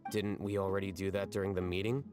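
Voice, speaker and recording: An actor playing an aloof, self-assured young male scholar in a video game, speaking in a measured, articulate, smug manner. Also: low-pitched, level tone, natural voice. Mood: smug, dry. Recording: game music behind the voice. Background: game music.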